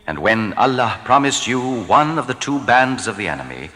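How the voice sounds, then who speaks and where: A man giving a solemn, formal reading of translated scripture, deliberate and declamatory in his phrasing. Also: medium pitch, sing-song, pushed and bright, soft background music. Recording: long reverb, hall-like.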